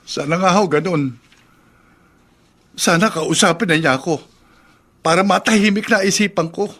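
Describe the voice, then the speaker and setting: A man's voice: high voice, moderate pace, a bit bright. A distraught jilted young man. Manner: agitated, complaining. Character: distraught young man left by his girlfriend. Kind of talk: radio drama acting.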